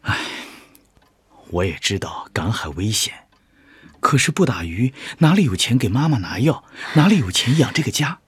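Husky young fisherman husband. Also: medium pitch, big pitch swings, moderate pace, fairly bright tone, dry recording, heavily acted. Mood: earnest, burdened. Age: 20s. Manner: soothing, sighing, earnest. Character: weary young fisherman husband, reassuring and dutiful. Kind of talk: radio drama acting.

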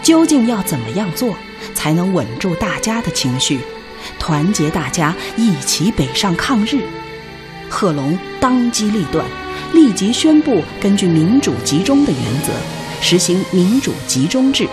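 A woman's voice: medium pitch, sing-song, a little slow, over music. A woman narrating a revolutionary history radio drama in an earnest, formal, scripted storytelling style.

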